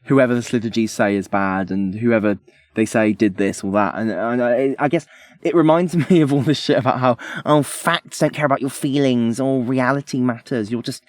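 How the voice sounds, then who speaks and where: A man co-hosting a podcast, giving casual critical commentary in a hesitant, halting way. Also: mid-range voice, dry recording. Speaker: podcast co-host.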